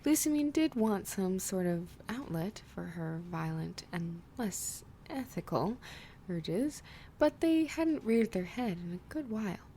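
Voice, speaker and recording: A woman reading fan fiction aloud in a soft, slow, often whispery narration. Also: mid-range voice, lively intonation, a little hiss or hum.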